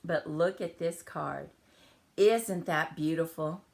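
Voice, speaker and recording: A woman's voice: low-pitched, slow, vocal fry, darkish tone. A woman hosting a live card-making stream, chatty and welcoming, her voice a little crackly after the flu. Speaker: host of a card-making channel. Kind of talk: live stream chat and crafting demo.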